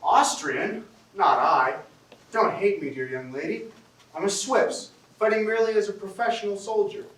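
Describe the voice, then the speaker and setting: A man's voice: high-pitched, normal speed, a bit bright. A man on stage playing a weary, practical Swiss soldier in a classic comedy, wry, polite and self-deprecating. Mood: wry, courteous. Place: theatre stage, recorded from the audience. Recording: roomy, distant stage recording with hiss.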